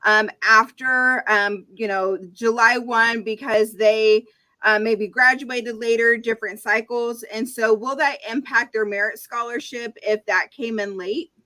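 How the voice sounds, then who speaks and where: A woman's voice: fairly high pitch, fairly even intonation, measured pace, bright, forceful tone. A woman moderating an online information session, reading out audience questions with frequent ums. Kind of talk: webinar moderation.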